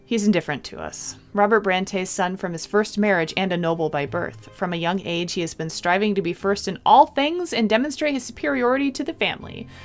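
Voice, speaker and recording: A woman's voice: medium pitch, a little fast, hardly any pauses, soft background music. A woman doing a let's-play of a visual novel, reading the text aloud expressively and adding casual, chatty comments. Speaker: game streamer.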